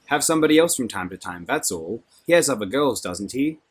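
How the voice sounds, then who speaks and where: A man's voice: medium pitch, lively intonation, a little fast, slight background noise, pitched above the performer's own voice, close to an everyday voice. A man reading a novel aloud, voicing a sensible young woman who scolds her friend in an insistent, gossiping manner.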